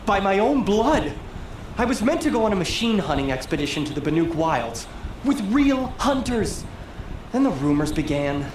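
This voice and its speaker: A man's voice: high-pitched, sing-song, moderate pace, a little mellow, reverberant, a little hiss or hum, rough recording. A young man playing a petulant, sheltered teenage noble in a video game, theatrical and haughty. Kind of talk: video game dialogue acting.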